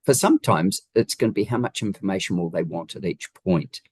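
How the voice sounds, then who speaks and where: A man's voice: sits low, lively intonation, a little fast, husky, no echo, studio quality. A man with a New Zealand accent giving conversational, emphatic interview answers, often making his points with rhetorical questions. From Wellington region, New Zealand. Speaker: guest, home designer.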